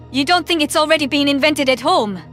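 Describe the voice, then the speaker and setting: A man's voice: very high-pitched, moderate pace, fairly bright tone, faint music behind, voice pushed up. A man voicing a wry human woman soldier in audio story dialogue. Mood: amused.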